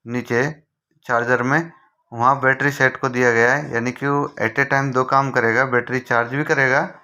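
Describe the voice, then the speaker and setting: A man's voice: medium pitch, bright, forceful tone, smooth. A man with an Indian accent giving an informal technical tutorial, rephrasing his points and checking in with listeners as he explains. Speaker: technical instructor.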